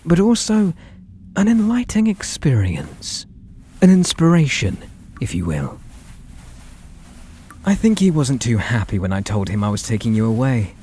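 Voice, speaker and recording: A British man voicing an obsessed, possessive artist and menacingly tender lover in a breathy, theatrical ASMR roleplay, drifting into whispers. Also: medium pitch, huge pitch swings, a little slow, pauses often, warm tone, exaggerated voice. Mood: smug, adoring. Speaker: audio roleplay voice actor.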